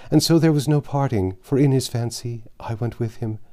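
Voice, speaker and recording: A man's voice: medium pitch, moderate pace, dark tone, lightly acted. Casting: male narrator as gaunt dying peasant wife, storybook tale, archaic and fervent, full of bitter grief. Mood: grieving, fervent. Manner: impassioned archaic speech.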